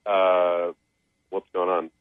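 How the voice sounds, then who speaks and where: A man's voice: low voice, completely flat delivery, takes it slowly, clear, resonant tone, no room sound. A man on a phone call, speaking casually in a reluctant, awkward, hesitant way. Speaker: called phone guest. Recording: tinny telephone line.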